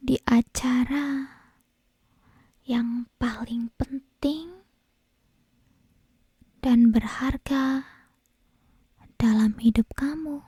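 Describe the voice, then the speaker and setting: A woman acts out a possessive, sweetly menacing yandere kidnapper for an ASMR roleplay. Her voice is a very husky, breathy whisper, soft and mellow in tone. She lingers on her words with intimate slowness, very close to the mic in a dry space. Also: high-pitched, fairly even intonation, dry recording, heavily acted. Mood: gloating, teasing. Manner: slow, intimate, drawn-out phrasing with soft giggles. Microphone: close binaural ASMR microphone.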